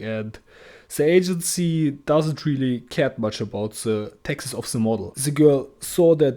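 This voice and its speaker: A man's voice: mid-range voice, mellow. A man talking bluntly in non-native English, often restarting his sentences and swearing casually. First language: not English; English is his third language. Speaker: agency owner giving advice. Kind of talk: casual talking-head monologue.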